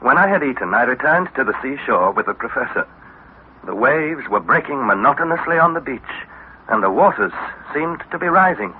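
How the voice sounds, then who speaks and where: An actor playing an earnest, wondering young nephew on an expedition, in crisp 1960s radio drama style. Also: male voice, mid-range voice, sing-song, normal speed, lightly acted. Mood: narrating. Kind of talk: 1960s radio drama acting with scripted narration. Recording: tinny, hissy vintage radio broadcast.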